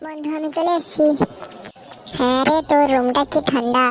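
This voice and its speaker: Crude, quarrelsome young male cartoon slacker acted by a man, very high processed voice, West Bengal Bengali accent, amateur comedy. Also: fairly even intonation, normal speed, a little hiss or hum. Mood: complaining, cheeky. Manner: brash, foul-mouthed, whiny.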